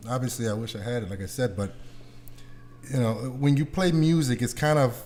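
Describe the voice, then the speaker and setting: A man's voice: medium pitch, expressive, brisk, a little mellow. A man hosting a podcast interview in casual, slangy talk with short interjections and questions. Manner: informal, slangy, joking interjections. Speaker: podcast host.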